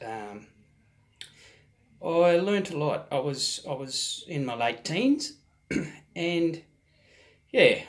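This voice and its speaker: A man, hosting his own channel and telling a personal story in a halting way, his voice slightly hoarse from a recent virus. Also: medium pitch. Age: 40s. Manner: halting, many ums and restarts, soft-spoken. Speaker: vlog host.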